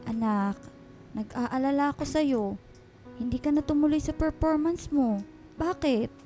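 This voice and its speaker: Kindly older nanny, radio drama, mellow tone, patient and soothing, faint music behind. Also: female voice, high-pitched, unhurried. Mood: worried, tender. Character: gentle, caring older nanny comforting her ward. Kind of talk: Filipino radio drama acting.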